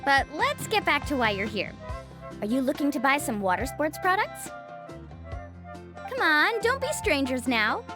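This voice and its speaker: A woman's voice: high voice, very animated, measured pace, long pauses. A woman playing an upbeat young water-sports shopkeeper in a video game, breezy, friendly and salesy. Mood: breezy, welcoming. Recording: music behind the voice.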